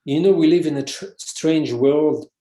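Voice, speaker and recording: A man's voice: on the high side, a little slow, dark tone. French-accented man in an echoey room. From France. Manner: hesitant, many restarts and fillers. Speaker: guest, researcher and author. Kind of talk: casual interview conversation.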